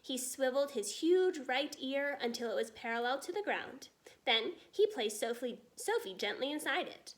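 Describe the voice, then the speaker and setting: A woman giving an expressive scripted narration of a children's storybook. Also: sits high, moderate pace. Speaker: storybook reader.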